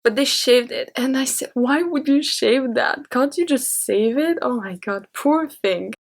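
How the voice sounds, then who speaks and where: A woman's voice: high-pitched, measured pace, vocal fry. A woman in her thirties with a French accent, a French native speaker, answering viewer questions in a chatty, open way. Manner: chatty and open, with light laughs. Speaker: French teacher and YouTuber. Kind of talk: casual Q&A talking to camera.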